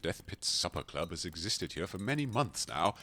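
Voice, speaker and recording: An actor playing a pompous, courtly gentleman host in a comedic audio drama, speaking in flowery, formal, theatrical phrases. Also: low-pitched, very animated, moderate pace, exaggerated voice. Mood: grandiose.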